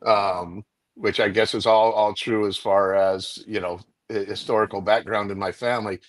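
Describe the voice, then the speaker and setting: A man's voice: fairly low pitch, steady tone, normal speed, dry recording. A man in a casual panel chat, telling stories and acting out quotes. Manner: storytelling, acts out quotes.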